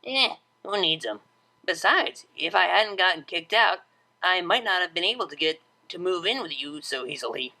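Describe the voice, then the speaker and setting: Woman voicing a brash, creaky young unicorn DJ. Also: fairly low pitch, expressive, moderate pace, bright, forceful tone. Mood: dismissive. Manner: casual, slangy, offhand. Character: brash, laid-back young unicorn mare DJ.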